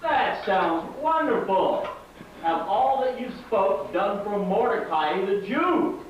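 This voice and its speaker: Man playing a high-voiced, declaiming puppet king. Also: big pitch swings, exaggerated voice. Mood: stately. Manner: declamatory, deliberate. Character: grand male king puppet, proud and quick to outrage. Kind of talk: amateur puppet show acting. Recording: echoey hall from far off, dull, noisy.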